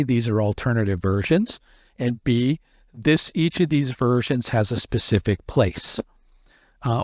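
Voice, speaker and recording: A man in a middle range of pitch, talking through a technical subject as an interview guest. He keeps going in long stretches and stumbles over repeated words as he restarts. The sound is close and dry. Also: a little mellow, no room sound. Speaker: SEO expert guest. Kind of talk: explanatory interview talk.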